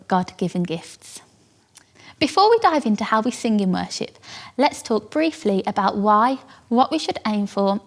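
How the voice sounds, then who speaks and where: Calm young British woman teaching. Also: medium pitch. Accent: British English. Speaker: church worship vocal coach. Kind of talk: scripted teaching talk.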